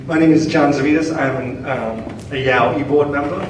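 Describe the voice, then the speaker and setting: A man's voice: on the high side, moderate pace. A man on a youth board, asking a polite, hesitant question with many fillers. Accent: foreign-sounding, not Southern. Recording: echoey hall, distant mic, background noise.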